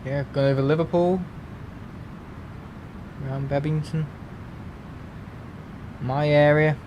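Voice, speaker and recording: A man's voice: a little high, fairly even intonation, takes it slowly, a little mellow, slight background noise, rough recording. A man with a Merseyside accent, hosting a livestream and chatting casually with viewers, rambling with lots of fillers and restarts. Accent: Merseyside English. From England. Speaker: livestream host. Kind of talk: casual chat with live viewers.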